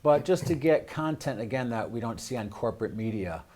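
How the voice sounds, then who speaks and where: A man's voice: medium pitch, animated, fairly quick, a little mellow. An American man hosting a casual studio interview, talking in a rambling, hesitant way. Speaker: show host. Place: live studio.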